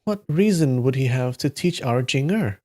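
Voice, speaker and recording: A man's voice: medium pitch, expressive, moderate pace, darkish tone, pitched above the performer's own voice, natural voice. A man voicing a questioning, wary female martial arts master in a storybook reading.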